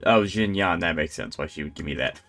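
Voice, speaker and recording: A man's voice: sits low, fairly quick, vocal fry, bright, forceful tone, faint music behind. A man giving casual live gameplay commentary as a streamer, rambling and thinking aloud. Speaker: game streamer.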